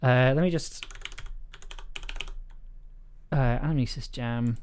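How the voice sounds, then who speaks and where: A stream host chatting casually, with a halting, rambling delivery that often repeats words. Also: medium pitch, darkish tone, smooth. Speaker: stream host and audio drama writer. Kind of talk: casual live stream chat.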